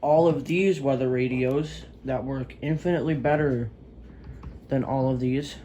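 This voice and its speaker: A man's voice: mid-range voice, fairly even intonation, a little slow, dark tone, slight background noise. A man giving a casual, unscripted product review in a hesitant, rambling way, on an amateur home recording. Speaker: gadget reviewer.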